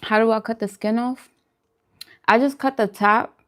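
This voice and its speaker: A woman's voice: on the high side, steady tone, moderate pace, a bit bright. A woman hosting a food channel, chatting casually and informally with viewers during a mukbang livestream.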